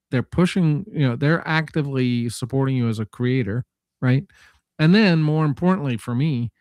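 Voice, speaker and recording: A man in a casual tech discussion, speaking haltingly and correcting himself as he thinks aloud. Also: mid-range voice, darkish tone, no echo.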